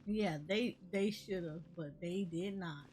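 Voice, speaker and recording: A woman's voice: on the low side, takes it slowly, a little mellow. A woman streamer giving casual play-by-play commentary, half talking to herself as she plays a video game. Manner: talks to herself, muttering reactions while playing. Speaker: gaming streamer. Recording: game music behind the voice.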